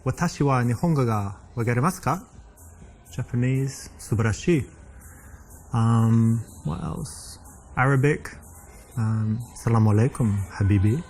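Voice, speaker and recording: A man's voice: mid-range voice, moderate pace, a little mellow, slight background noise, close to an everyday voice. An actor in his thirties with a British accent playing a boastful, tactless young man rambling on a date. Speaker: game actor and dating coach. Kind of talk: interactive game scene acting.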